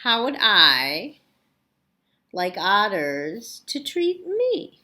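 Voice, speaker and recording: A woman's voice: mid-range voice, wildly swooping pitch, extremely slow, pushed and bright, heavily acted. Woman reading as a fussy rabbit, swooping expressively. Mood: pondering, hopeful. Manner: questioning, musing storybook delivery. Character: worried, fussy male rabbit pondering new neighbors.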